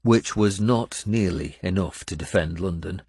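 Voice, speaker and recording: A man's voice: low-pitched, measured pace, a little mellow, husky, no echo, clean studio sound. A man reading dramatic first-person narration as an audiobook narrator.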